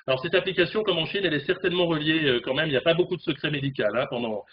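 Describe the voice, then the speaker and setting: A man in his forties reporting as a correspondent on a remote news discussion, animated, speaking from a room at home over a webcam. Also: fairly high pitch. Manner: fast, animated, talks with hands. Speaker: correspondent in South Korea. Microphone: video call webcam. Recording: dull video call audio, faint noise.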